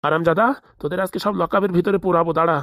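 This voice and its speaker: A man's voice: fairly high pitch, steady tone, very fast, a bit bright, no echo, studio quality, close to an everyday voice. A man voicing a bullying, foul-mouthed cartoon police commissioner with a blustering, threatening manner. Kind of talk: fast cartoon comedy dialogue.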